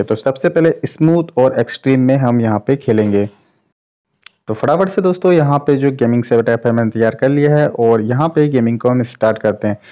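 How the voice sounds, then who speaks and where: A man's voice: mid-range voice, mellow. A man hosting a tech review, giving informal commentary on a phone gaming test and mixing in English tech terms. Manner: informal, repeats filler phrases, English tech terms mixed in. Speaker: tech review channel host.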